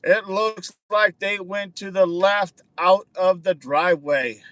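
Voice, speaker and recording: High-voiced man chatting on a livestream. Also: takes it slowly, a bit bright, dry recording. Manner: chatty, repeats words for emphasis. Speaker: livestream host. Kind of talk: casual livestream chat, answering viewer comments.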